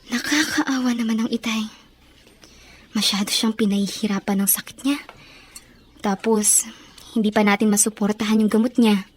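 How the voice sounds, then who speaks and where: An actress playing a dutiful, worried elder sister from a poor family in a Filipino radio drama, speaking earnestly and with drawn-out emotion. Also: female voice, medium pitch, fairly even intonation, slight background noise, natural voice. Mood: worried, sad.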